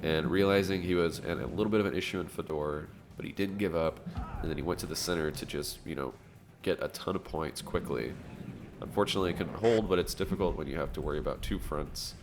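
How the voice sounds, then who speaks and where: Gravelly deep-voiced male game caster, stuffed-up. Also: soft background music. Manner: excitable, fast reactions and laughs. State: nasal from allergies. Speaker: gameplay commentator. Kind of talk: casting a strategy game match.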